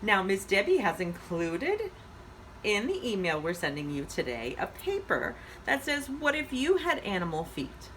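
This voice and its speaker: Female children's educator, unhurried and very lively in intonation, simple encouraging instructions for little listeners, faint noise behind. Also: mid-range voice. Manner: simple encouraging phrasing with questions to young listeners. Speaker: children's story time host and educator. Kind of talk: reading and activity instruction for young children.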